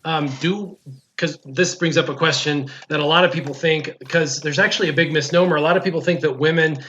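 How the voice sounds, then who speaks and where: A man's voice: fairly high pitch, fairly even intonation, brisk, sharp tone, a little hiss or hum. A man hosting a casual gaming livestream, joking and opinionated, repeating himself as he talks through viewer questions with a guest. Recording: slightly dull, lo-fi stream audio.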